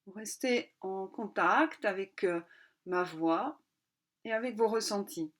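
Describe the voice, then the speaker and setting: A woman yoga nidra instructor leading a guided relaxation in a calm, unhurried way, pausing between short instructional phrases. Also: medium pitch.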